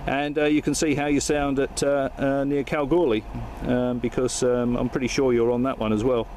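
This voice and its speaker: A man's voice: medium pitch, level tone, moderate pace, a little mellow, slight background noise. A man talking casually and jokily as an amateur radio operator and video host, recorded inside a car. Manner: jokey, matey, informal. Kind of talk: casual radio chat and vlog narration.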